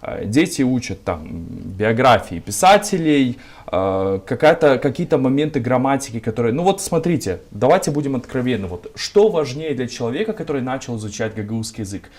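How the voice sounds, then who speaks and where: A young man from Gagauzia, a civic activist, answering interview questions in a measured, argumentative way with lots of filler words. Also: medium pitch, expressive, darkish tone. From Gagauzia, Moldova.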